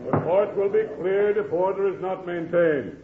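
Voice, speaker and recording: An actor playing a stern, measured trial judge in a 1940s radio comedy. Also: male voice, sits high, close to an everyday voice. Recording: echoey, muffled, noisy old radio recording.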